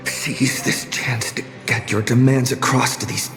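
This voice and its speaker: An actor playing an earnest young male hero in a video game, with a hesitant, deliberate way of talking. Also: mid-range voice, steady tone, music in the background, natural voice. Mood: earnest, determined.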